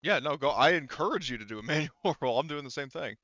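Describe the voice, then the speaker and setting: A man running a tabletop game, narrating off the cuff in a casual, halting, rambling way. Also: on the high side, lively intonation, sharp tone, no room sound, muffled recording. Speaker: game master.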